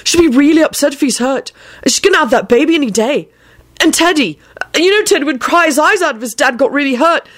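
A panicky young man, voiced by a woman storyteller, defensive and petulant. He pitches high and yelps his protests in a whiny, animated way. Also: a little fast. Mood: urgent pleading. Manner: yelping, frantic, whiny protest. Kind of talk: dramatic storytelling of fan fiction.

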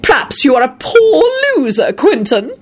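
A woman's voice: high voice, very animated, moderate pace, slightly rough, pitched above the performer's own voice, exaggerated voice. A woman voicing an irritable, superior older woman in a story, with a sniffy manner. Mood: haughty.

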